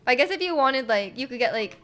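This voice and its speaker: Giggly, higher-pitched woman livestreaming a game. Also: lively intonation, a little fast, fairly bright tone. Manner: giggly, hesitant, rambling, repeats herself. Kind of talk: casual live stream chat with viewers while playing. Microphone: close streaming microphone.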